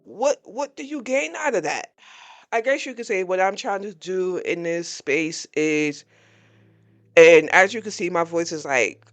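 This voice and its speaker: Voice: medium pitch, animated, unhurried. A podcast host in their early forties giving a candid, blunt personal reflection, talking openly about frustration. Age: 40s.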